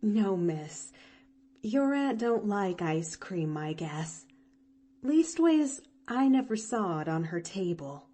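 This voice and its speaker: A woman's voice: mid-range voice, big pitch swings, slow pace, warm tone. Brief: young country servant girl, plainspoken, stumbling over words, storybook, read by a woman. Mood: flustered. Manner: plainspoken, rural grammar, stammering.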